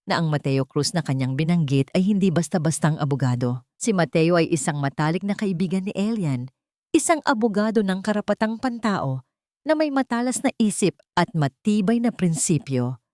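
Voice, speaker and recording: Expressive woman narrator with lowish voice. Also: no room sound, studio quality. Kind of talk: dramatic scripted story narration.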